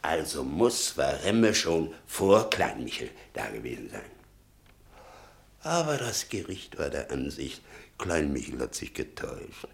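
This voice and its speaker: An actor playing a bitter, sarcastic old father in a German radio play, rambling and muttering with a gravelly voice. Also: extremely low pitch, huge pitch swings, unhurried, exaggerated voice. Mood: bitter, brooding. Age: older. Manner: rambling, sardonic, muttering and flaring up.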